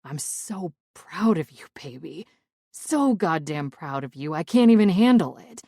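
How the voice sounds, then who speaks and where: A woman voicing a remorseful young man in love, speaking low and gravelly in a pleading, apologetic way. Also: sing-song, measured pace, warm tone, dry recording, clean studio sound, full character voice. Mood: pleading tender. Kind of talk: audiobook character voice.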